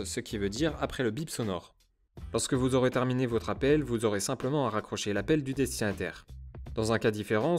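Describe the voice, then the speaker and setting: A man's voice: mid-range voice, darkish tone. A man presenting a scripted tutorial in a formal, instructional way. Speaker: tutorial host. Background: background music.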